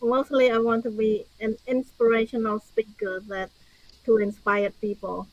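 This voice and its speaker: A woman's voice: on the high side, flat delivery, normal speed, dull audio. An older woman from Cambodia with a Cambodian accent, answering conversationally as an interview guest. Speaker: podcast guest, author and speaker.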